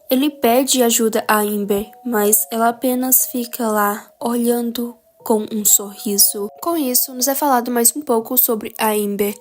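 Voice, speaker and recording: A woman narrating a recap of a horror video series in a steady, explanatory way. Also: fairly high pitch.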